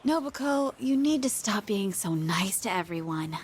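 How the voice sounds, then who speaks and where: A woman's voice: mid-range voice, animated, moderate pace, soft background music, lightly acted. An actress voicing an aloof, prickly young working woman in a video game, with a blunt, dismissive manner. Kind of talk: English video game voice acting.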